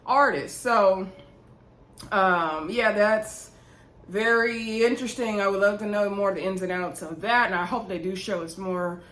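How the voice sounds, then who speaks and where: A woman's voice: medium pitch, unhurried, fairly bright tone. Opinionated woman recapping in an echoey room. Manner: conversational, opinionated, addresses viewers directly. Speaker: YouTube reality show recap host. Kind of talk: casual chatty TV episode recap. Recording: roomy, echoey indoor sound.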